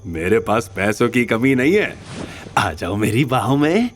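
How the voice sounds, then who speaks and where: A man's voice: mid-range voice, sing-song, measured pace. A man playing a rich, arrogant, lecherous villain in an audio drama, leering and condescending with a short temper. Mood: leering, smug. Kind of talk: Hindi audio drama dialogue. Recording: faint music behind.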